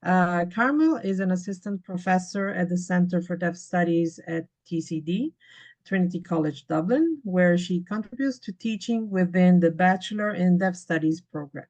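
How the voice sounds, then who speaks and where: A woman moderating a webinar over a video call, polite and halting with many fillers. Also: lowish voice, darkish tone.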